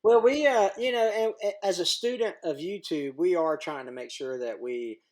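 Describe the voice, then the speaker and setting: A man's voice: high voice, normal speed. A plain-spoken man talking casually as a podcast guest, laughing easily and joking about himself. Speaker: guest, YouTuber. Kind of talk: casual conversation.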